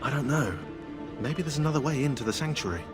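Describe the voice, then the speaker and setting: An actor playing a sarcastic, pedantic and peevish British know-it-all in a video game cutscene. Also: male voice, medium pitch, lively intonation, quick pace, lightly acted. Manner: sardonic, pedantic. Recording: clear music underneath. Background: music.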